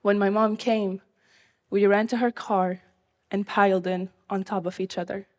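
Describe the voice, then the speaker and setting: A young woman from Syria telling her own life story live, in an earnest, measured way. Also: medium pitch, flat delivery.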